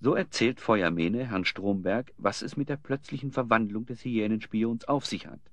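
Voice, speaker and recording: A man's voice: lowish voice, moderate pace, no echo, natural voice. A man narrating a German audio drama in a scripted storytelling style.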